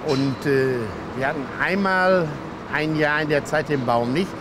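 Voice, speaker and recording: A German man calmly explaining, with a few pauses and hesitations, in an outdoor interview. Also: fairly high pitch, background noise. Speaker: showman, market organizer. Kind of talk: casual interview answers. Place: outdoor Christmas market. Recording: outdoor market with loud music. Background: music.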